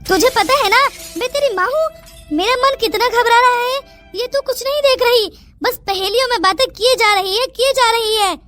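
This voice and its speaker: Casting: woman as caring cartoon village mother, high voice, fretful and coaxing, music underneath. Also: moderate pace, fairly bright tone. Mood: anxious. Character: anxious, caring village mother comforting her grown daughter. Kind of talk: Hindi cartoon moral story dialogue. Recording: music behind the voice.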